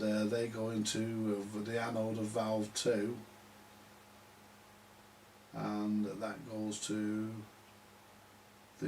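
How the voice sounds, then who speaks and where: Bloke muttering through a repair, slow and gravelly, mic is a way off with some noise. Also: male voice, lowish voice, a little mellow. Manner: halting, muttering to himself while working things out. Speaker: vintage radio repair hobbyist. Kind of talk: unscripted workbench commentary, thinking aloud. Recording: distant mic with background hiss.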